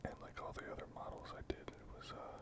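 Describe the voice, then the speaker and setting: A man speaking in a breathy, unvoiced whisper, giving casual, unhurried ASMR commentary as he works and thinks aloud in halting phrases. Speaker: host of a miniature painting channel.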